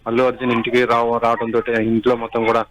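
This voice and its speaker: A man giving a live unscripted news report, halting and repeating himself as he goes. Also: medium pitch, monotone, poor audio. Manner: halting, repetitive, restarts words.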